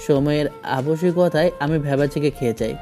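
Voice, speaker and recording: A man's voice: a little high, fairly quick, mellow, soft background music. A man narrating a first-person romantic story in a dramatic scripted style.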